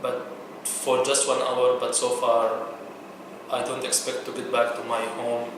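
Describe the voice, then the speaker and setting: A man from Syria with a Syrian Arabic accent, speaking English in a halting way as he searches for words. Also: medium pitch, monotone. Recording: echoey room, distant mic, noise.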